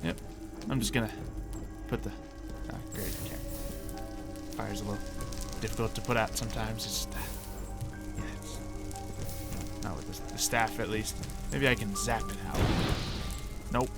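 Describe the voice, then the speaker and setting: A man's voice: on the low side, normal speed, long pauses. A man doing casual, improvised Minecraft roleplay commentary in an offhand, playful way. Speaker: gaming video creator. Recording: music under the voice. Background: game music.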